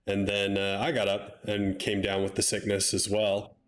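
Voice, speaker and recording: A young man with a somewhat low voice calls a strategy match, sounding stuffed up and a little nasal. He talks in a relaxed, chatty way and drops wry asides. A faint hum runs under the recording. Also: normal speed. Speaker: game caster. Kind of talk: video game commentary.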